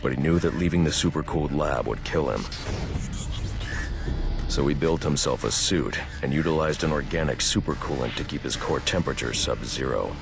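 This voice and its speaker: A man playing a grim masked vigilante detective in a video game, speaking in a gravelly, terse, stern way. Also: a bass voice, moderate pace, music in the background. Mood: grave, explanatory. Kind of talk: dramatic video game voice acting.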